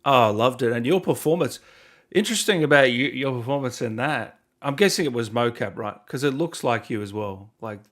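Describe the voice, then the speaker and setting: A man's voice: medium pitch, animated, a little fast. A man with an Australian accent interviewing a guest in a matey, informal, complimentary way. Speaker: interviewer, gaming show host. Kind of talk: casual interview chat.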